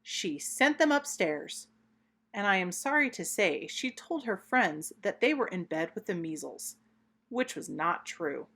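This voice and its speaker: Female children's storybook narrator, mid-pitched, very animated, expressive and deliberate in delivery. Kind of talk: expressive read-aloud storytelling.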